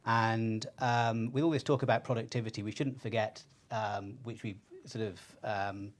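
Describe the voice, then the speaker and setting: A man presenting research findings in a semi-scripted style, measured and pausing between phrases. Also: lowish voice, steady tone.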